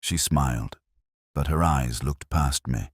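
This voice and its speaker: A man narrating a scripted first-person detective story in measured, literary phrasing. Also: rumbling low, husky, dry recording, studio quality.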